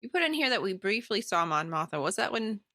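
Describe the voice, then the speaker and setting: A woman's voice: mid-range voice, sing-song, brisk, bright, forceful tone, no echo, clean studio sound. A woman co-hosting a podcast, talking in a casual, chatty book club style. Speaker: podcast co-host.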